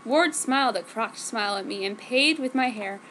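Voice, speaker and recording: A woman's voice: a little high, normal speed, fairly bright tone, slight background noise, poor audio. A woman giving a theatrical, comic dramatic reading of fan fiction, delivering misspelled words exactly as written. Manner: theatrical, reads misspellings literally.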